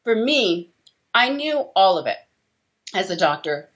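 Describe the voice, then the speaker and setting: A woman's voice: mid-range voice, sing-song, unhurried, fairly bright tone. A middle-aged woman explaining things as an interviewed doctor, emphatic and fond of rhetorical questions. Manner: emphatic, rhetorical questions with tag checks like "okay?" and "right?". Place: small indoor room. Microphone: video call, computer at desk distance. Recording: dull lo-fi video call audio.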